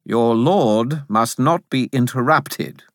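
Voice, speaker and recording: Man voicing a smug, slangy castle spirit, animated pitch. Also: measured pace, creaky, no room sound, studio quality, exaggerated voice. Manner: slangy, dismissive, superior. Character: smug male castle spirit, casual, put-upon, sarcastic.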